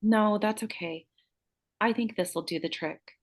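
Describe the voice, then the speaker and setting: A woman's voice: mid-range voice, a little slow, lightly acted. A woman playing a young beauty queen, an eager helper with hidden menace, in a screenplay table read. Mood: calm, sly.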